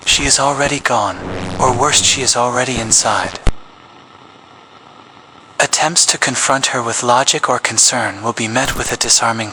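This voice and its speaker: A man reading a scripted public safety announcement as an emergency broadcast announcer, in a grave, measured official tone. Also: medium pitch, pushed and bright, a little hiss or hum, poor audio. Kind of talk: scripted horror narration.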